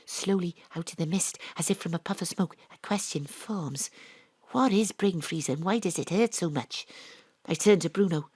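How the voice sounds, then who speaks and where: A woman narrating as a private detective in a radio play, with a brisk, wry, deadpan manner. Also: lowish voice, breathy edge, no room sound. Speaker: narrator and detective Astrid Price. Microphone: home studio mic.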